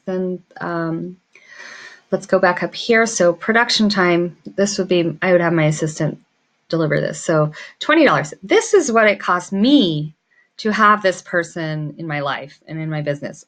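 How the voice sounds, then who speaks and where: A woman's voice: on the low side, a bit bright. A woman giving an informal tutorial, thinking aloud and muttering to herself as she works through it. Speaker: small business owner teaching pricing.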